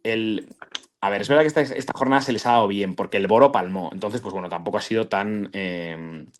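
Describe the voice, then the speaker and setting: A man's voice: fairly low pitch, brisk. A man, chatting casually on a football podcast, rambling with slang and restarts. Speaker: podcast co-host.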